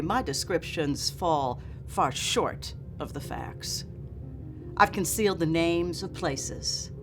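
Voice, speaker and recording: Woman reading as earnest, slow-spoken formerly enslaved woman author. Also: low-pitched. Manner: formal, solemn, emphatic. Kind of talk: dramatic reading of a memoir preface. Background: music.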